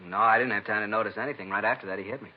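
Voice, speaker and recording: An American man playing a rattled, incredulous shopkeeper and robbery victim in a 1950s radio crime drama, talkative, emphatic and halting. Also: on the low side, natural voice. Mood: bewildered. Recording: dull, noisy vintage radio recording.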